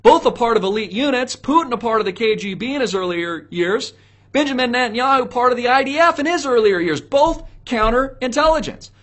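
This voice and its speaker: A man's voice: very high voice, lively intonation, fairly quick, a bit bright. A man giving opinionated solo political commentary to viewers, speaking in an emphatic, preachy way with repeated rhetorical questions.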